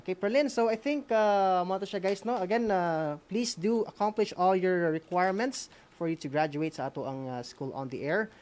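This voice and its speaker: A Filipino woman with a slightly low voice co-hosts an online meeting. She talks casually and haltingly, moving between English and her native Cebuano. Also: a little mellow. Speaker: co-host of an online school meeting. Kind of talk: casual announcements, mixing languages.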